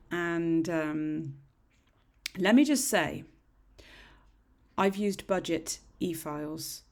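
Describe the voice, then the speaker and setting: A middle-aged woman with a husky, slightly croaky voice, chatting casually through a nail tutorial in a rambling, self-deprecating way. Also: on the low side, darkish tone. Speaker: nail technician. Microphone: desk microphone.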